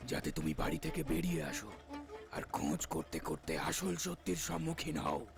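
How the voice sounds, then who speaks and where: A man voicing the solemn, protective ghost of a young man in a horror cartoon, speaking in an ominous, deliberate way. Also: on the high side, huge pitch swings, vocal fry, music in the background, heavily acted. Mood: grave warning. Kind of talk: Bengali horror cartoon voice acting.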